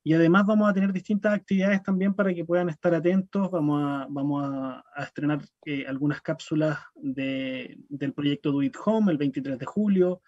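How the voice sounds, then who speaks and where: A man with a lightly high voice gives organizer's announcements and thanks. The sound is dry and somewhat dull, as over a video call. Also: moderate pace, hardly any pauses, darkish tone, dry recording. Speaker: event organizer closing a workshop.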